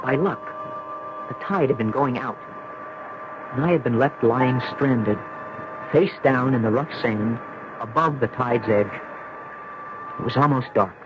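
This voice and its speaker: Actor as a troubled young surfer, noisy old radio. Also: male voice, mid-range voice, unhurried, long pauses, muffled recording, lightly acted. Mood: dazed, shaken. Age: young adult. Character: pale, troubled young surfer recounting his near-death. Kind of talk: 1970s radio drama acting.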